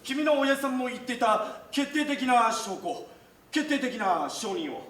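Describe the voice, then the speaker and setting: High-pitched, urgent young defence lawyer. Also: male voice, animated, a little slow, a bit bright, exaggerated voice. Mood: urgent pleading. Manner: emphatic, urgent, declamatory. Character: earnest, desperate young male defence lawyer. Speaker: stage actor. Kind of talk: theatrical courtroom drama acting. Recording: echoey stage, distant microphone, faint noise.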